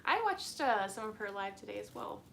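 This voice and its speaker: A woman's voice: mid-range voice, very animated, normal speed, creaky, slight background noise. A young woman hosting a casual live stream, chatting in a bubbly, rambling way with frequent giggles and lots of "like". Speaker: plant channel host.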